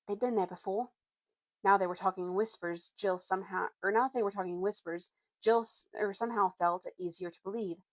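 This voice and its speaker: A woman's voice: mid-range voice, steady tone, fast, no echo. A woman reading a storybook aloud informally, often stumbling and correcting herself as she narrates. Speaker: reader.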